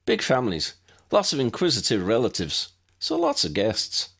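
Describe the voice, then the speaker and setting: A man's voice: mid-range voice, huge pitch swings, normal speed, creaky, fairly bright tone, husky, voice pushed up, heavily acted. A man voicing a friendly, chatty young Irish wizard in an audiobook, with an Irish accent and a lilting, conversational manner. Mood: helpful.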